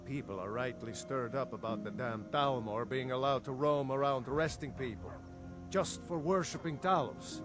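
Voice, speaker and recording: A man acts out a plain-spoken village smith from a fantasy video game. He is middle-aged, earnest and sure of his opinions, loyal to the empire, and his medium-pitched voice sits over clearly heard music. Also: moderate pace, natural voice. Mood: indignant. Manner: earnest, opinionated, matter-of-fact.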